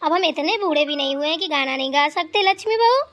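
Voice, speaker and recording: A woman's voice: high-pitched, expressive, fast, fairly bright tone. A woman voicing an elderly mother-in-law who rambles through stories and scolds playfully. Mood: chatty. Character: elderly mother-in-law, chatty, teasing, fond.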